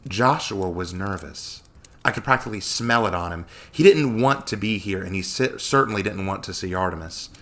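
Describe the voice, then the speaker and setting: A man narrates a story with dramatic flair. His pitch moves widely and his tone is bright and forceful. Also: animated, normal speed. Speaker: story reader. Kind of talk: dramatic audiobook-style narration.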